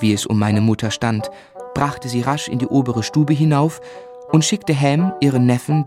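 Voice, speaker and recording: A man's voice: medium pitch, warm tone, lightly acted. An actor playing a grown man who recounts his childhood reflectively, as the narrator of a literary radio drama. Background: music.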